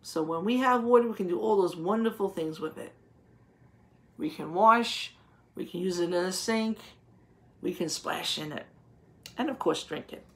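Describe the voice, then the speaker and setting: A woman reading a picture book aloud to young children, explaining it as a teacher would and asking simple questions, with deliberate, drawn-out phrasing. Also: on the low side, a bit bright.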